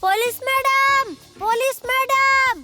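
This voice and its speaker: An earnest, rule-abiding child in a children's cartoon. The voice is extremely high-pitched. Loud music plays underneath. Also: fairly even intonation, slow pace. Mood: earnest, urgent. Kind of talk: exaggerated children's cartoon acting in Malayalam.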